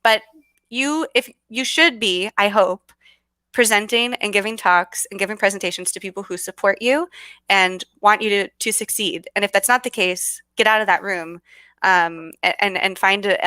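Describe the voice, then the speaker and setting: A woman's voice: medium pitch, pushed and bright, smooth, no echo. A bubbly woman with a Californian American accent, chatting casually as a guest and often restarting her sentences. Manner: bubbly, many fillers and restarts. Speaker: guest speaker. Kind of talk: casual video call chat.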